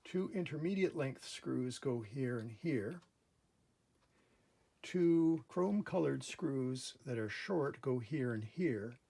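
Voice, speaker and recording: Gravelly, husky man explaining repairs. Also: medium pitch, expressive, slow, warm tone. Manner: methodical, step-by-step explaining. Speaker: host of a repair channel. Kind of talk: casual hands-on repair demonstration. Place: indoor workbench in a workshop.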